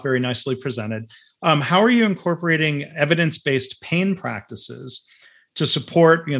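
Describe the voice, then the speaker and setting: A man with a mid-pitched voice moderates a webinar in a relaxed way, filling his sentences with easy "um"s. He sounds unhurried and friendly in tone. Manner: relaxed, many fillers. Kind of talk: webinar conversation.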